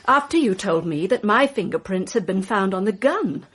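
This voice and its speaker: An anxious suspect in a radio mystery drama. Her husky voice sits at an average female pitch as she pleads her case, upset and earnest. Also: lively intonation, moderate pace, fairly bright tone. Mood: defensive, upset.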